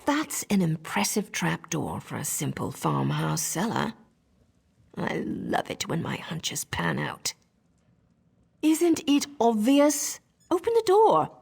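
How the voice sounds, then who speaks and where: A woman's voice: lowish voice, sing-song, slow pace, creaky, a little mellow, husky, long reverb tail, exaggerated voice. An actress voicing a wry, roguish adventurer woman with a sordid past in a video game, sly, teasing and conversational. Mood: playful, teasing.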